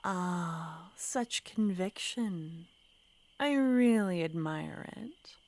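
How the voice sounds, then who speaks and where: Woman playing a slow, whispery yandere witch. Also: lowish voice, no room sound. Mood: seductive. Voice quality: soft whispering, breathy. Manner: slow, sweetly menacing, teasing. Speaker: ASMR roleplay performer. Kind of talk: ASMR roleplay.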